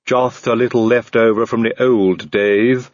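A man voicing a calm male odd-job servant, stitched together from many men, speaking slowly and politely. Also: mid-range voice, no room sound, dull audio, close to an everyday voice. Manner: slow, unruffled, oddly polite.

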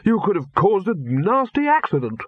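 A man reading an audiobook voices a gruff, blunt old male wizard chief who barks short phrases. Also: sits high, wildly swooping pitch, normal speed, no room sound, phone-quality sound, voice pushed up, exaggerated voice. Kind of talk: audiobook character voice.

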